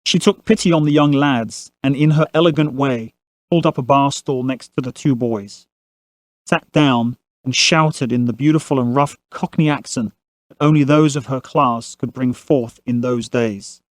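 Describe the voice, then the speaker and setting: A man's voice: mid-range voice, moderate pace, dry recording. A man narrating a family saga as a scripted audio drama storyteller.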